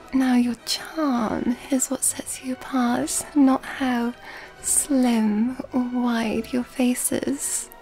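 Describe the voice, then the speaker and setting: A woman playing an elegant, flirtatious vampire in an ASMR roleplay, speaking in a soft, breathy half-whisper with refined, courtly phrasing, close to the mic. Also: on the high side, low-quality recording. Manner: refined, old-fashioned courtly phrasing, unhurried. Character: elegant, flirtatious, mysterious female vampire at a masquerade ball. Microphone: close microphone. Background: music.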